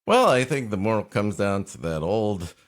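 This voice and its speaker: A man co-hosting a podcast, trading deadpan jokes and laughing at his own quips. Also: on the low side, moderate pace. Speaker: podcast co-host. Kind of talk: casual comedic banter.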